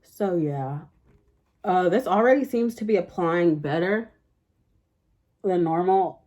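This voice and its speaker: Young woman beauty vlogger, deep and mellow, loose rambling delivery. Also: slow. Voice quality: fairly deep speaking voice. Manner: rambling, chatty, heavy use of "like" fillers. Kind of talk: casual makeup tutorial chat.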